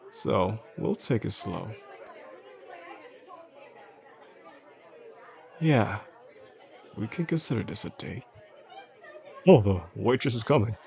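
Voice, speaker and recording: Man acting low, gravelly, attentive romantic boss. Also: darkish tone. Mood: tender, flirtatious, then lighthearted, casual. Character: charming attentive boss courting his employee, quietly possessive man. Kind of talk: intimate audio roleplay, addressing the listener. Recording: faint restaurant crowd behind the voice.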